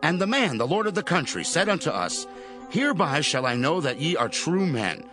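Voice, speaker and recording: An actor playing an earnest grown son in a Bible audio drama, speaking in a solemn, emphatic, declamatory way. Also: male voice, a little high, very animated, normal speed, over music. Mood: solemn report. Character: earnest adult son pleading with his aged father.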